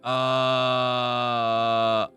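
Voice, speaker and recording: A man streaming, chatting casually and candidly with a clear, smooth voice, rambling and swearing freely. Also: mid-range voice, completely flat delivery, very slow, bright, forceful tone, faint music behind. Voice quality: clear voice without gravel. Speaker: VTuber streamer. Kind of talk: casual livestream chat.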